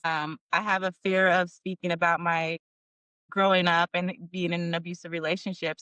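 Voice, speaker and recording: A woman's voice: lowish voice, bright, forceful tone, clean tone, no echo, poor audio. A woman in her forties from Northern California, a small business owner talking earnestly in a casual interview and laughing easily. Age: 40s.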